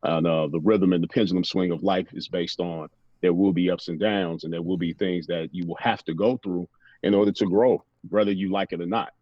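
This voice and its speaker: A man's voice: low-pitched, fairly even intonation, fairly quick, no echo, dull audio. An American man talking casually and reflectively as an interview guest, philosophical in the way of a motivational speaker, often checking in with "you know".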